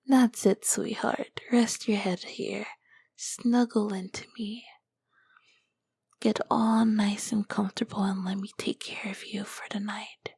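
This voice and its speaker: A woman's voice: mid-range voice, steady tone, gravelly, darkish tone, husky, no echo, exaggerated voice. A woman voicing an ancient, nurturing succubus queen in an ASMR roleplay, whispering breathily and soothingly with tender endearments. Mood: soothing, affectionate. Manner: slow, soothing, doting endearments.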